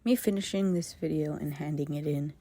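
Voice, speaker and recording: A young woman from Ecuador narrating a personal documentary vlog in a soft, confessional way, often close to a whisper. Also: low voice, fairly quick. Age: young adult. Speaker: vlogger.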